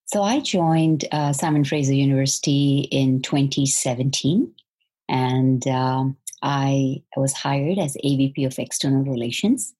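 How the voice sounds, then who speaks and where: Fifties woman, interview guest, measured storyteller; mellow and husky low voice. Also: fairly even intonation. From Malaysia. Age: 50s. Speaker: podcast guest. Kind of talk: reflective interview answers.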